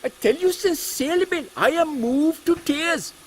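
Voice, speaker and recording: A man's voice: very high-pitched, expressive, normal speed, a little hiss or hum, pitched above the performer's own voice. A man voicing an awestruck, sentimental engineer, florid and earnest, in a steampunk audio comedy. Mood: awestruck, moved. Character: awestruck, effusive Indian engineer from Bombay, male.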